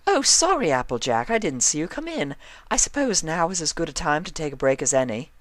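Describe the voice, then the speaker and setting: A man's voice: a little high, very animated, brisk, a bit bright, dry recording, pitched above the performer's own voice. A man voicing a prim, fussy, bossy female pony dressmaker with affected, refined diction in a storybook reading. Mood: polite, bright.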